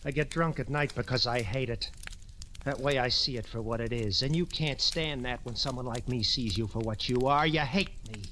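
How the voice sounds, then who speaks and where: An actor playing a bitter, defiant, drunken young suspect in an old radio crime drama, melodramatic and strained. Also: male voice, mid-range voice, normal speed, pushed and bright, close to an everyday voice. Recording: noisy vintage radio recording.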